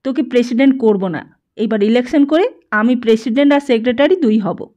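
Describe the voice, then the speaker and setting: Woman as scornful teenage boy, pushy questioning, cynical about the rich, high pitch, audiobook drama, muffled sound. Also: expressive, brisk, warm tone, lightly acted. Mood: scornful, demanding. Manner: interrogating, badgering, dismissive.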